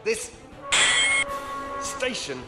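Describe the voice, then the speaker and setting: An actor playing a commanding male knight who gives terse orders. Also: very slow. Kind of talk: audio drama acting. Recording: echoey room with loud music score.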